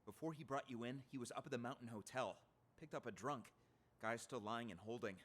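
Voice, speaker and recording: A man's voice: mid-range voice, brisk, a bit bright, close to an everyday voice. A man playing a gossipy junior policeman, eager to explain, in an audio drama. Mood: informative, curious.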